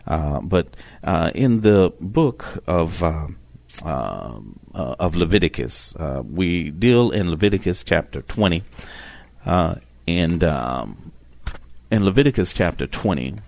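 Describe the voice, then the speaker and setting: A man with a deep voice teaches scripture as a broadcast preacher. He speaks deliberately and haltingly, often doubling back on his phrases. The sound is dry, with little room around him. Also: very animated, gravelly, a little mellow, dry recording. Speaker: preacher hosting a religious broadcast. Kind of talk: Bible teaching, unscripted explanation of scripture.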